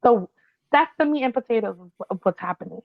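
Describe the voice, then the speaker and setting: Gravelly-voiced woman on a dull, dry mic. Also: fairly high pitch, lively intonation, dry recording. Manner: explains history as a run-through, with quick agreeing replies. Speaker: podcast co-host. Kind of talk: casual chat.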